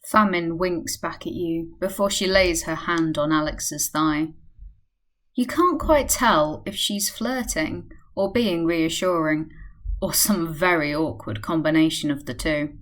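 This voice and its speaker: Lowish-voiced woman narrator. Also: a bit bright. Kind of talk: scripted radio play narration.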